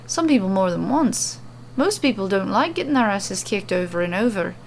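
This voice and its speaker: A woman's voice: medium pitch, animated, moderate pace, a little hiss or hum, natural voice. A woman voicing a cocky, world-weary female champion with a boastful, dispassionate manner in an audiobook-style fantasy story. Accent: attempted Australian.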